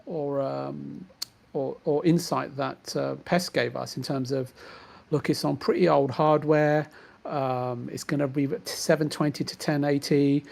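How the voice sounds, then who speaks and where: Guy chatting games with friends, bit high pitched and gravelly, hesitant and roundabout. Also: fairly even intonation, measured pace, a little mellow. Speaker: gamer on a podcast. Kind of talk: casual group chat about games.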